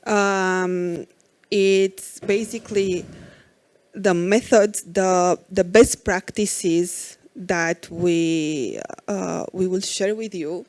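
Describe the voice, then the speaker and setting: A woman's voice: lowish voice, fairly even intonation, drawn-out words, a little mellow. A woman in her forties from Romania giving a conference talk as an IT architect, hesitant with many fillers.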